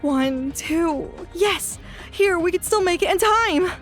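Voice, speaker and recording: Coniglio, a woman playing a very high-voiced anxious healer. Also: lively intonation, slow pace, faint music behind, full character voice. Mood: urgent. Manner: urgent, strained pleading. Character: anxious young woman healer, desperate but determined. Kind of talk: fan audio drama acting.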